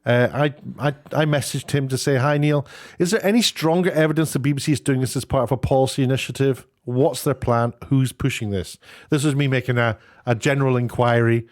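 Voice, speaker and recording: A British man talks through his opinions as an online commentator, chatty and unscripted. His voice sits at an ordinary mid pitch, and he wanders from point to point, dropping in dry, sarcastic asides. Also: brisk, darkish tone. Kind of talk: casual opinionated commentary, reading out tweets and figures.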